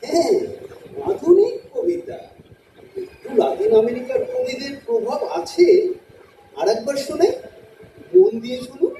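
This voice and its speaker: Actor as earnest pompous male poet, audio drama recital, creaky, wildly swooping pitch, echoey. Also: sing-song, a little slow, pauses often, warm tone, exaggerated voice. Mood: self-important. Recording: echoey, distant, faint noise.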